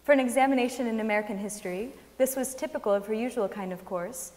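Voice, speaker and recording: A woman's voice: a little high, fast, darkish tone. A young woman giving a dramatic reading from a script on stage. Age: young adult. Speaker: reader on stage. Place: large wooden concert hall stage. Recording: reverberant concert hall.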